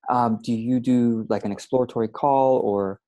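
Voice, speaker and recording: A man interviewing a client on a video call from a room with bookshelves, asking relaxed, encouraging questions. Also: mid-range voice, moderate pace. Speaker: interviewer, business coach. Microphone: earphone mic on a video call.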